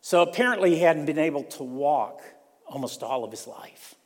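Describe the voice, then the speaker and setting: An older man from Ohio preaching a sermon in a church, slow and emphatic, repeating key lines. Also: on the high side, lively intonation. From Ohio, USA. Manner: slow, emphatic preaching with repetition and rising intensity. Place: church sanctuary. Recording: long hall reverb.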